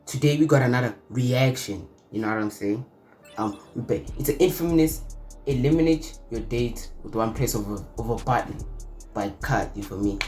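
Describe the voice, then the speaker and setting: A laid-back man talks in loose slang while he reacts to a clip. His voice sits in an ordinary middle range. Music plays clearly underneath him. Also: mid-range voice, moderate pace, darkish tone. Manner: slangy, laid-back, frequent "you feel me". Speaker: reaction video host. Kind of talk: casual reaction commentary.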